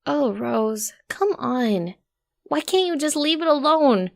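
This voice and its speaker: A woman acts as a concerned young mare in a pony story, her voice lifted high. She coaxes gently, with weary exasperation. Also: expressive, slow pace, vocal fry, dry recording, studio quality, full character voice. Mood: exasperated coaxing. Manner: coaxing, sighing, exasperated.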